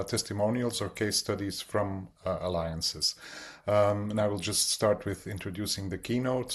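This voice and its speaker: A man moderating a webinar over a video call, introducing the program and speaker with many hesitant uhs. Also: lowish voice. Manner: hesitant, frequent "uh" fillers.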